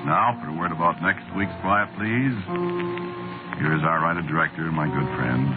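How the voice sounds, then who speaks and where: A man giving a formal, measured sign-off as announcer of a 1940s radio show. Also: a bass voice. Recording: tinny old radio broadcast over music.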